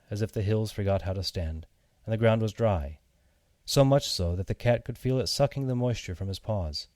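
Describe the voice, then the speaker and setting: Low-voiced man narrating a children's story. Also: darkish tone, no room sound, clean studio sound. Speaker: audiobook narrator.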